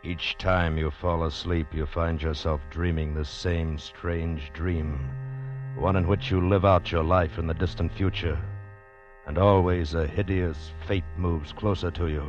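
Casting: low male American narrator, ominous vintage suspense radio delivery, dull sound under music. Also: fairly even intonation, a little slow. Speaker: teaser narrator.

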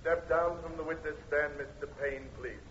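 An actor playing a stern, formal courtroom judge in 1950s radio drama style. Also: male voice, on the high side, fairly even intonation, moderate pace, fairly bright tone, lightly acted. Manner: formal, commanding. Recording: muffled old radio, faint noise.

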